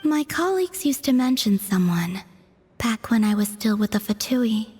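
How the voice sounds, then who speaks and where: A woman voicing a calm, detached and mysterious young woman who speaks softly and formally. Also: on the high side, normal speed, soft background music, natural voice. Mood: serene. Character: serene, mysterious young woman, a former Fatui agent. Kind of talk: game cutscene voice acting.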